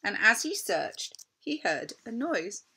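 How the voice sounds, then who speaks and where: Slow, bright-voiced woman reading a storybook. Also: fairly high pitch, sing-song, breathy edge, poor audio. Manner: dramatic, deliberate storytelling. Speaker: storybook reader. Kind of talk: expressive children's story narration.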